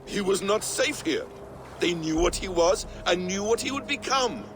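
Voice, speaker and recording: An actor playing a bitter, wily trickster god in a video game, gravelly, theatrical and menacing. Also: male voice, sits high, lively intonation, moderate pace, noisy background. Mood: accusing. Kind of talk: dramatic video game cutscene acting.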